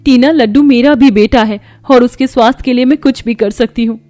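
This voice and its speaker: A woman voicing a gentle, devout young wife in a cartoon story, speaking earnestly and pleadingly. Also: on the high side, brisk, no echo, close to an everyday voice. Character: poor devout young wife, kind and patient.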